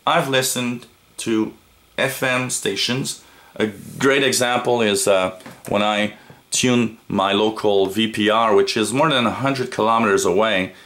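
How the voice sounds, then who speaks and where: A man giving an unscripted product review as a reviewer, in a halting way with frequent pauses. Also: on the low side, fairly even intonation, a bit bright. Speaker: radio reviewer. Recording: noticeable background noise.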